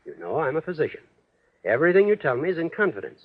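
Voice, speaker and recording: An actor playing an earnest, insistent physician in 1940s radio drama, with formal, deliberate, persuasive diction. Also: male voice, on the high side, very animated. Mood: urgent coaxing. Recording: dull old radio drama recording.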